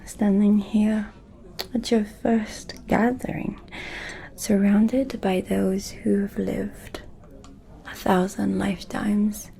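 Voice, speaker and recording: Woman acting as an ancient vampire lady, asmr roleplay, breathy mellow whisper, super slow n seductive, kinda menacing. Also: medium pitch, flat delivery. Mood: coolly intrigued. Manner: slow, deliberate, pausing, intimate.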